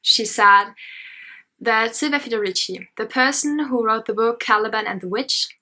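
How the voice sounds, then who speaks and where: A woman's voice: a little high, normal speed, pushed and bright. A nineteen-year-old woman with a German accent, a student talking through an unscripted vlog in a chatty, self-conscious way with many fillers. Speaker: history and sociology student. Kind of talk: unscripted casual vlog commentary. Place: her parents' house at night.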